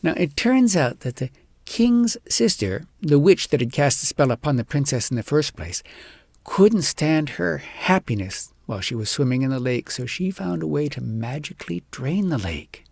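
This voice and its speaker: A man reading a fairy tale as an audiobook storyteller, in an expressive, measured storybook delivery. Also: fairly high pitch, vocal fry, dry recording.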